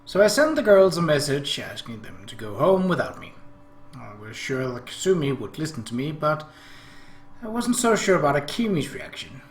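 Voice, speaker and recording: A man's voice: fairly high pitch, sing-song, normal speed. A man hosting a let's play, reading a visual novel aloud and adding his own commentary. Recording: roomy echo, faint background noise.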